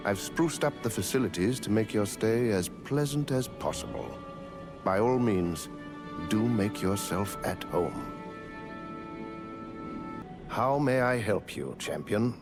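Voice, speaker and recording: A humble mechanical butler in a fantasy game. He is polite and formal, speaking slowly in a low, expressively varied voice with music behind. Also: lively intonation. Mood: courteous, humble. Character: humble, courteous war-machine construct turned housekeeping attendant. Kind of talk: scripted video game dialogue.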